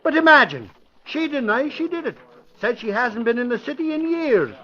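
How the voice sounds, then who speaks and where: A man's voice: very high-pitched, sing-song, moderate pace, heavily acted. A man playing an eager, indignant newspaper reporter in an old-time radio mystery, chatty and emphatic. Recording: dull vintage radio recording.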